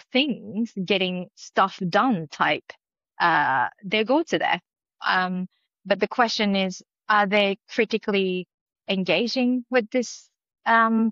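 A woman with a Japanese accent, a native Japanese speaker, answering interview questions as an academic in a thoughtful way with many pauses and fillers. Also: medium pitch, fairly bright tone, no room sound, highs cut off. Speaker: academic guest.

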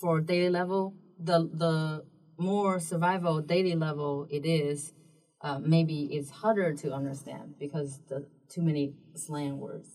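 A woman whose first language is Mandarin Chinese, speaking English with a Mandarin accent as an interview guest, explaining things carefully with frequent pauses. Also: deep voice, fairly even intonation, a little mellow. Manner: thoughtful, explaining with many um pauses. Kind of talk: casual explanatory chat. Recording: distant mic in a room.

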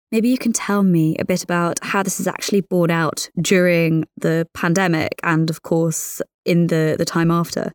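A woman's voice: mid-range voice, darkish tone, no room sound. Woman interviewer with a close, dry sound. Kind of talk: business interview questioning.

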